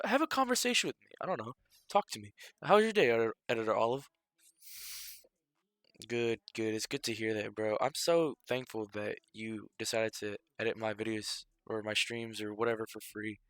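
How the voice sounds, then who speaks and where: A man's voice: fairly low pitch, a bit bright, dry recording. A young man rambling through casual stream commentary, loose and filler-heavy, sounding a bit stuffy from a cold.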